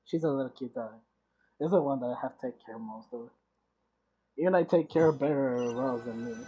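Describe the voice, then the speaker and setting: A woman's voice: very deep voice, a little fast, warm tone, breathy edge, poor audio. A woman giving casual gameplay commentary as a streamer, hesitantly searching for words. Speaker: game streamer.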